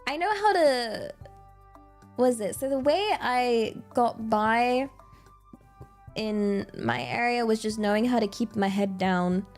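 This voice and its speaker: A young British woman streamer from England, chatting casually and thoughtfully with many pauses and fillers. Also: a little high, soft background music. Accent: British English. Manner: reflective, hesitant, many fillers and restarts. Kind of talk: casual chat with live chat readers.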